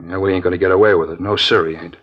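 An actor playing an aggrieved small-time American ex-con in a 1950s radio crime drama, slangy and streetwise. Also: male voice, sits low, steady tone, very fast, slightly rough. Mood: bitter. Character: aggrieved small-time male ex-con crook under arrest. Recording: dull old radio broadcast recording.

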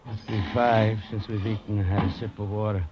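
An actor playing a genial, well-to-do gentleman in an old radio drama, with a mild, chatty delivery. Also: on the low side, flat delivery, a little fast, close to an everyday voice. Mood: genial, wistful. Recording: muffled, noisy old radio recording.

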